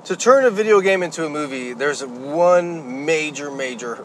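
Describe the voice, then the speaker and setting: A man co-hosting a podcast, talking in a relaxed way and laughing between points. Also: on the high side, normal speed, a little hiss or hum. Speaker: podcast co-host. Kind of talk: casual chat.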